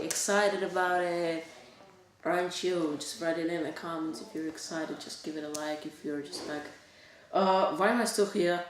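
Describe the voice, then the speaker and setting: Host in an echoey room. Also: mid-range voice. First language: Bulgarian. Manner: halting, non-native phrasing with many restarts. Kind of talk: casual talk-show monologue to camera. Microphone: camera set back from the speaker. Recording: echoey room, distant mic, faint hiss.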